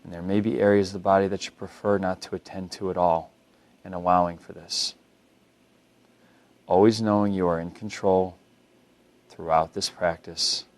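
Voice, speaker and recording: Deep-voiced man guiding meditation. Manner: calm, unhurried, gently instructive. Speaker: mindfulness meditation instructor. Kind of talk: guided body scan meditation.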